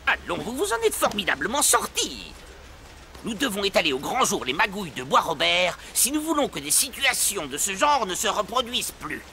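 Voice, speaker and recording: A man playing an animated, well-spoken conspirator in a French animated series, with flowery, theatrical phrasing. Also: sits high, moderate pace, heavily acted. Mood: confident. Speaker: voice actor.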